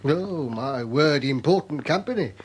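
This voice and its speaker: An actor playing an odd, hunched older theatre violinist, wry and knowing, in a period radio drama. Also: male voice, a little high, lively intonation, a little slow, a little hiss or hum. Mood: wry, gossipy.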